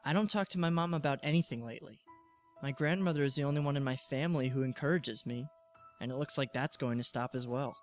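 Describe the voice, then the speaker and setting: A young man acting as a brooding high-school artist in a serial drama. He sounds guarded and bitter, mid-pitched, with light music underneath. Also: quick pace, natural voice. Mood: troubled, resigned. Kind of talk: naturalistic web series drama acting.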